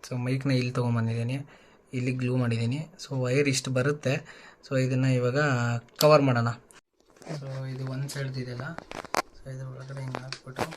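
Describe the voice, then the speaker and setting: A man's voice: medium pitch, little pitch movement, a little fast, pauses often, a little hiss or hum. A man giving a casual hands-on how-to demonstration, informally mixing in English words. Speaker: tech vlogger presenting a tutorial.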